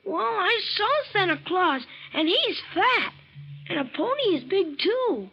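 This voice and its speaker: A woman's voice: very high-pitched, animated, unhurried, vocal fry, lo-fi sound, heavily acted. An actress voicing a four-year-old boy full of eager, childlike questions in a 1940s radio drama, heard from an old broadcast. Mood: pleading.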